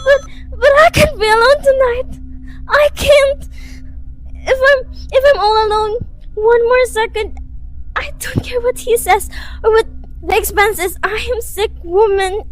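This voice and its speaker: Radio suspense lead: woman playing a self-pitying invalid wife, extremely high voice, imperious and nervy, music underneath. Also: fairly even intonation, unhurried, rough recording. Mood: tearful, panicked. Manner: imperious, complaining, melodramatic. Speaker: student actress. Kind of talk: suspense radio drama acting.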